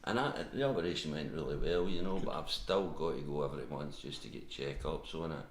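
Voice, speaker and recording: A man in his seventies with a Scottish accent, telling his story in a casual interview, halting with many restarts. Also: a bass voice. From Scotland. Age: 70s. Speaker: interview guest. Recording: echoey room with faint background hum.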